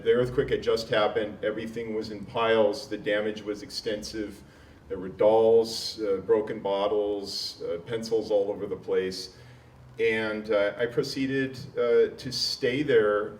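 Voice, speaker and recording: A man's voice: fairly low pitch, darkish tone. A middle-aged man, an architectural historian speaking as a guest at an outdoor panel discussion into a handheld mic, telling his story with lots of "uh" fillers and a self-deprecating touch. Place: outdoor courtyard. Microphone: handheld mic, far camera. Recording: echoey, distant, faint background noise.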